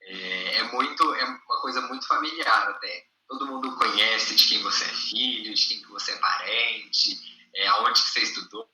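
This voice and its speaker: Man in his twenties, lowish bright voice, echoey room. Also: moderate pace, far from the mic. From interior of São Paulo state, Brazil. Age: 20s. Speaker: guest. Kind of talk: casual interview answers.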